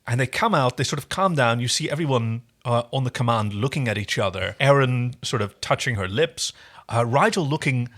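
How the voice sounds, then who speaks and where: A man with a medium-pitched voice talks as a podcast co-host. He recaps in a casual, conversational way, with enthusiasm and some hesitant searching for the right word. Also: expressive, a little fast, fairly bright tone. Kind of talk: casual episode recap discussion.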